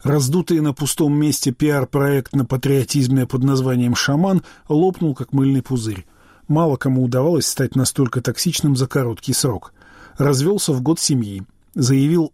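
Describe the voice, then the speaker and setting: A man's voice: mid-range voice, normal speed, dark tone, husky, no room sound, clean studio sound. A man reading a scathing post aloud, listing accusations.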